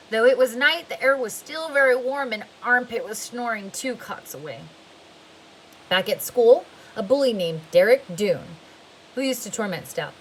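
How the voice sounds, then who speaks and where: Woman reading a novel aloud, fairly high. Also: a bit bright, slight background noise, rough recording. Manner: plain, occasionally restarting words. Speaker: reader.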